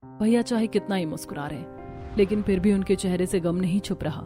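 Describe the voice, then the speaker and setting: A woman's voice: mid-range voice, brisk, over music, pitched below the performer's own voice, lightly acted. A woman voicing a tender, earnest, loving young sister in a cartoon story. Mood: concerned. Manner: tender, sincere. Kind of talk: Hindi cartoon story acting.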